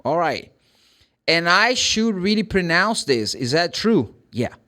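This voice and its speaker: A man with a Brazilian accent and Portuguese as his first language gives an informal English pronunciation lesson. His voice sits a little high and moves up and down in a very lively way. He speaks emphatically, posing questions and answering them himself like a classroom teacher. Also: takes it slowly. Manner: emphatic, asks and answers own questions, acts out short examples.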